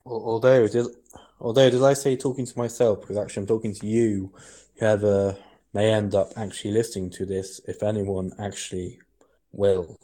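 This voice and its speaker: A man co-hosting a podcast in rambling casual chat, halting and full of restarts. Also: on the low side, steady tone, warm tone. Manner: halting, many restarts and fillers. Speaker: podcast co-host. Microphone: headset.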